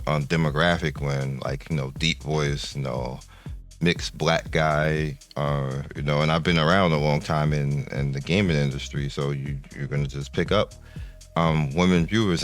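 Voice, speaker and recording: An older man with a very low, deep voice talks casually to his gaming channel's viewers. He rambles and restarts often as he goes through the numbers. Faint music plays quietly behind him.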